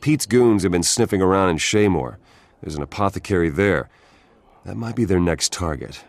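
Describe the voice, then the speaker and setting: An actor playing a steady, commanding guard captain in a video game, firm but fair. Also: male voice, low-pitched, moderate pace. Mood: businesslike. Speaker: military captain. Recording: music behind the voice.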